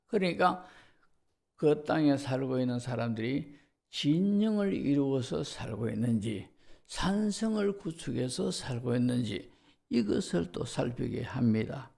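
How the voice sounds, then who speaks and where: A male pastor with a gravelly voice and a Gyeongsang Korean accent, preaching in a didactic way and putting rhetorical questions to his listeners. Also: mid-range voice, animated, measured pace, darkish tone. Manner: didactic, enumerating, checks in with listeners. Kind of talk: Sunday sermon, expository with rhetorical questions.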